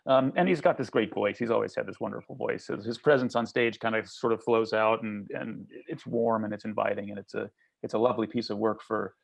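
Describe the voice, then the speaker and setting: A man chatting casually and reflectively as a theatre colleague, halting and restarting his phrases as he thinks. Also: on the low side, steady tone, vocal fry. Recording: slightly dull lo-fi call audio.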